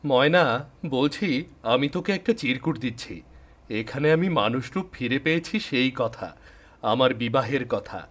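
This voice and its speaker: A man voicing an affectionate young fairy-tale prince in a cartoon, brisk and eager. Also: mid-range voice, fairly bright tone, lightly acted. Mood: eager, happy.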